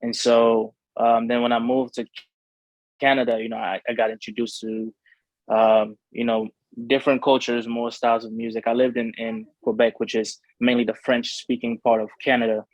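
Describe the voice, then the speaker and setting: Flat-toned young man from Cameroon. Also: mid-range voice, normal speed, dry recording, dull audio. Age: young adult. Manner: rambling, many fillers and restarts. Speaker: rapper being interviewed. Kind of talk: casual interview talk.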